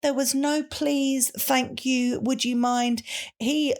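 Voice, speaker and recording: A woman's voice: high-pitched, steady tone, takes it slowly, darkish tone. A woman co-hosting a podcast, telling personal anecdotes in a chatty, emphatic way. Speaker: podcast co-host. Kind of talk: casual chat, personal anecdotes.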